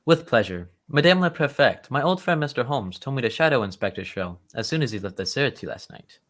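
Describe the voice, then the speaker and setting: A man playing a polite, earnest, loyal gentleman friend of a detective in an old-time radio drama, speaking with formal courtesy. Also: mid-range voice, lively intonation, fairly quick, lightly acted.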